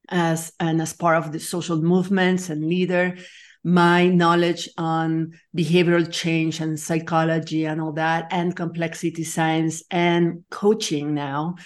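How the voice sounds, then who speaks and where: A woman with a Nicaraguan Spanish accent speaks low and unhurried as an interview guest. She pauses, restarts and searches for her words. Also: darkish tone. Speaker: guest, leadership coach. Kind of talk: conversational interview.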